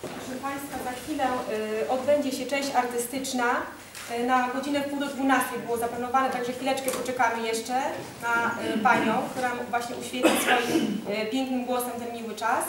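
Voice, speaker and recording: A woman's voice: a little high, little pitch movement, moderate pace. A woman in her thirties hosting a ceremony, politely and formally making announcements to an audience. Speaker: ceremony host. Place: large hall at a public ceremony. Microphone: distant camera microphone. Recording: echoey hall from far off, noisy.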